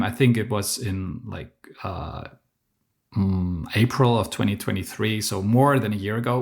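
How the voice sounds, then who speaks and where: A man, speaking English as an as a podcast guest, telling his story with many fillers and restarts. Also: lowish voice. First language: German. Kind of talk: casual interview talk.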